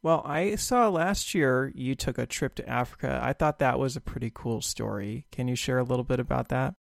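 A man's voice: medium pitch, moderate pace, gravelly. A man hosting a podcast, asking questions in a casual interview style. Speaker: podcast host.

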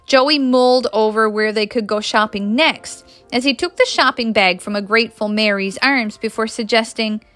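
A woman with a Newfoundland accent reading a story aloud as an expressive narrator. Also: on the high side, moderate pace, few pauses, dry recording, soft background music. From Newfoundland, Canada. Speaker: guest reader, author.

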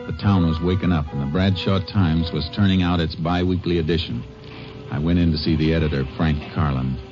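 A laconic Texas Ranger, played by a man in an old radio western, with a very deep voice. He speaks tersely and with quiet determination. The sound is muffled vintage broadcast audio with music under it. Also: fairly even intonation, brisk, close to an everyday voice. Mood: narrating. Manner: terse, low-key and determined. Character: steady male Texas Ranger lawman, laconic. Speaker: lead actor and first-person narrator. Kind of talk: 1950s radio drama acting.

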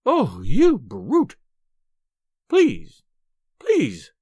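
A man voicing a small, furious, scolding wife in an audiobook, shrill and wailing with outrage. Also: wildly swooping pitch, moderate pace, fairly bright tone, dry recording, clean studio sound, exaggerated voice. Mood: wailing, desperate. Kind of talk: audiobook character voice.

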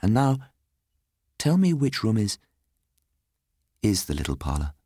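A man voicing a grave, tender young lady with a soft, hesitant way of speaking, in a storybook reading. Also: lowish voice, very animated, measured pace, breathy edge, no room sound, clean studio sound, pitched above the performer's own voice, exaggerated voice. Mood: gentle.

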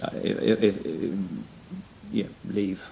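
A man in his seventies with a Welsh accent, a retired pastor reflecting thoughtfully on his ministry in a measured interview conversation. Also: low-pitched, vocal fry, dark tone, low-quality recording. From Wales. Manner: measured, thoughtful, trailing off at times.

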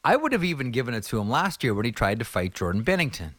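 A man's voice: mid-range voice, sing-song, quick pace, fairly bright tone, no echo, clean studio sound. A man with a nasal voice hosting a podcast, playful and enthusiastic in casual chat. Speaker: podcast host.

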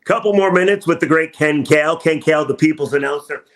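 A man's voice: fairly high pitch, quick pace, fairly bright tone. An American man hosting a sports talk radio interview, asking questions in an emphatic, effusive, stop-start way.

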